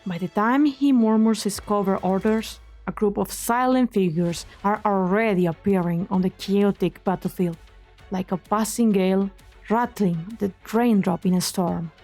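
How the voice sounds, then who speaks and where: A woman reading scripted dramatic story narration in a measured, solemn manner. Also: medium pitch, a little mellow. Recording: background music under the voice.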